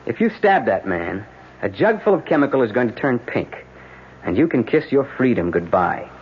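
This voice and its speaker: Blunt American defense lawyer, pressing and urgent, widely swinging pitch, dull noisy old radio sound. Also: male voice, very animated, normal speed. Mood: urgent, stern. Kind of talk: old-time radio drama acting.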